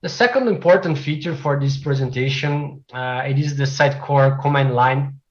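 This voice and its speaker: A man from Brazil whose first language is Portuguese, giving a technical presentation as a developer, with frequent "uh" fillers and measured delivery. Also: mid-range voice, dull audio. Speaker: conference speaker, software developer.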